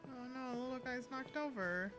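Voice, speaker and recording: A woman's voice: high voice, moderate pace. A woman game streamer giving casual live commentary as she plays. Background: game music.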